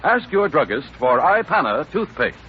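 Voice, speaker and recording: A man's voice: on the high side, big pitch swings, normal speed. An American man announcing on 1930s radio, delivering scripted lines and advertising copy in a radio studio. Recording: muffled, hissy 1930s radio broadcast.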